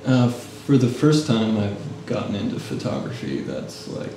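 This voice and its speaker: A man in his late thirties who grew up in Japan, a guest photographer speaking softly and hesitantly, trailing off with ums. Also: on the low side, sing-song, unhurried. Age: late 30s. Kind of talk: casual interview. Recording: echoey room, mic at a distance, noise.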